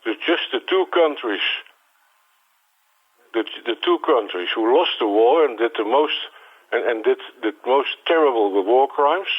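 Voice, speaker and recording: A man in his sixties from the Netherlands with a Dutch accent, a native Dutch speaker, answering interview questions in a halting way, repeating words and restarting often. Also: medium pitch, expressive, breathy edge. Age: 60s. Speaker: interview guest. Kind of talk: phone interview. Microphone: telephone. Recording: tinny phone line.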